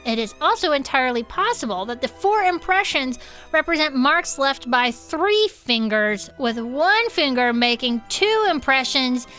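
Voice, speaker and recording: A woman's voice: high voice, lively intonation, measured pace, pushed and bright, faint music behind, heavily acted. A woman playing a chipper, easily sidetracked cryptid-club co-host who reads case reports and banters. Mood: earnest, narrating. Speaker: podcast co-host.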